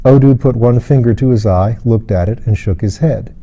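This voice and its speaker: Very mellow-voiced male audiobook narrator. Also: medium pitch, moderate pace. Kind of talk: literary storybook narration.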